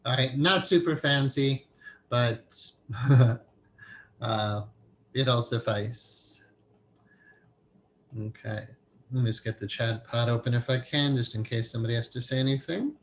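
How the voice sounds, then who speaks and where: Male meditation teacher, mid pitch, easygoing lecture delivery over faint room noise. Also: unhurried, pauses often. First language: English. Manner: relaxed and explanatory, thinking aloud with fillers. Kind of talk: online class lecture.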